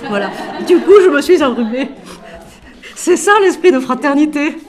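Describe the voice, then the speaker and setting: Expressive French-speaking nun with a cold. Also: female voice, high voice, normal speed, some chatter behind. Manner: expressive, shifting from loud emphasis to quiet asides; asks rhetorical questions. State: has a cold. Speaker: Franciscan sister and author. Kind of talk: animated talk about her book.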